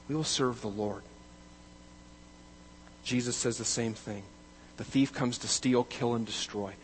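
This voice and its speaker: A man preaching a sermon in a mid-pitched voice. He builds his points to loud, emphatic declarations, then falls back to quiet, measured reading. A faint hum sits under the recording. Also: low-quality recording. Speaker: pastor.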